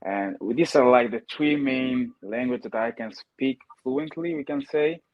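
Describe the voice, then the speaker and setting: A man's voice: mid-range voice, measured pace, dull audio, slight background noise. A young man with a heavy Italian accent, a guest answering earnestly and often checking in with "okay?". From Italy. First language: Ghanaian language. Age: young adult. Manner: earnest, often tags lines with "okay?" and restarts words. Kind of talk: casual interview answers.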